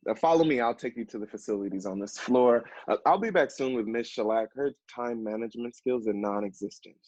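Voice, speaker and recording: A man's voice: medium pitch, brisk, a little mellow, no echo, natural voice. An actor playing a polite, slightly catty male office assistant in a naturalistic stage play. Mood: polite, wry. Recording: dull, muffled dry sound.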